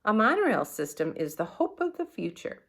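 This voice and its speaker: A woman's voice: medium pitch, sing-song, normal speed, a bit bright, voice pushed up. A woman voicing an earnest, opinionated schoolboy from a storybook, in an emphatic, persuasive manner. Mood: arguing.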